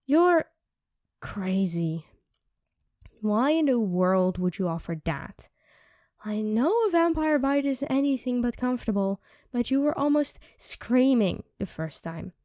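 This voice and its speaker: A woman voicing a sardonic, teasing female vampire who mocks and coaxes in an ASMR roleplay, dropping into soft whispers, close to the mic. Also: a little high, very animated, unhurried, no echo. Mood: conflicted.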